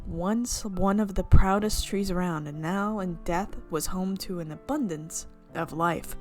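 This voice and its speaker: A woman streaming a visual novel, reading the narration aloud with expressive, playful reactions. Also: on the low side, unhurried, soft background music. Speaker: game streamer.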